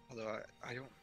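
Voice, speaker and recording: Young adult male, bright forceful tone, casual gaming chat and anecdotes, music playing behind. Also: mid-range voice. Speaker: player in an online game session.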